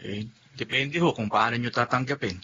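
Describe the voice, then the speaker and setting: A sincere, respectful young son. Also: male voice, medium pitch, normal speed, pushed and bright, breathy edge. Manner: polite, sincere. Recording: muffled radio-play recording with faint noise.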